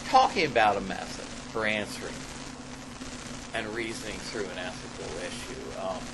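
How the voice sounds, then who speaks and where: A man reading an academic paper aloud to a class as a lecturer, halting at times and stressing key phrases. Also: lowish voice. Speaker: college ethics lecturer and paper author. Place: classroom in front of students. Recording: noisy amateur classroom recording.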